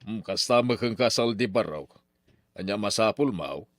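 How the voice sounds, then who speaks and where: A man's voice: lowish voice, lively intonation, moderate pace, no echo, clean studio sound, lightly acted. A man playing a stern, money-minded older father in a radio drama, with an Ilocano accent and emphatic delivery. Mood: brusque.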